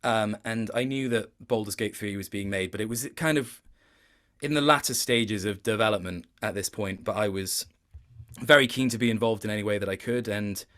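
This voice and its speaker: A man's voice: lowish voice, normal speed, fairly bright tone. A man speaking as a voice actor in a casual interview, thoughtful and self-deprecating, often feeling his way to the point.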